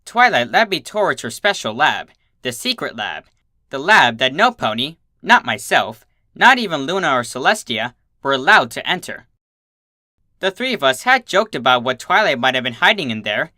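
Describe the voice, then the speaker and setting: A narrator giving an expressive first-person reading of a comic fan story. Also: mid-range voice, normal speed, no echo, studio quality.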